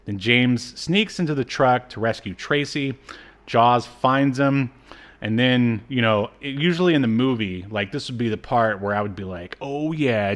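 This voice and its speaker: Male podcast co-host, mid-pitched, chatty and comic, walks through a story with mocking disbelief. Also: fairly bright tone. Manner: incredulous, mocking asides. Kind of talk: casual comic episode recap.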